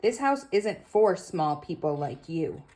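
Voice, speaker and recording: Woman playing a stubborn, bossy little boy, animated. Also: on the low side, slow. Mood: possessive, bossy. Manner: bossy, emphatic.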